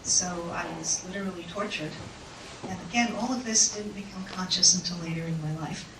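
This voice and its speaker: A woman giving a personal talk to an audience in an auditorium, speaking through a microphone in an unhurried, reflective way with pauses. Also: fairly low pitch, far from the mic, background noise. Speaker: invited speaker. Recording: echoey hall mic heard from afar.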